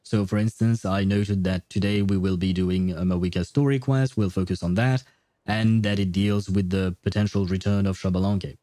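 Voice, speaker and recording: Male game streamer, mellow, dry close mic, rambling and hesitant, faint game music. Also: deep voice, steady tone, moderate pace, no echo. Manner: rambling, hesitant, many fillers, laughs often. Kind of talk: livestream gameplay commentary, reading chat.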